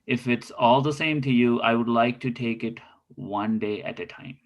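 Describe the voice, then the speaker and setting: A man's voice: medium pitch, moderate pace, lo-fi sound, natural voice. Earnest, lovestruck spy in his thirties, read by a man, formal and courtly, mid-range voice, table-read drama.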